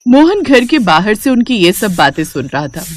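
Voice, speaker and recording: A woman's voice: medium pitch, sing-song, moderate pace, no room sound, clean studio sound. A woman narrating a scripted moral story for a cartoon.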